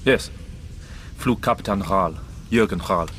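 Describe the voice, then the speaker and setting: A man playing a cynical, disillusioned German pilot captain in a radio drama, dry and measured, with a German accent. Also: sits low, a bit bright, a little hiss or hum. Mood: guarded, cool.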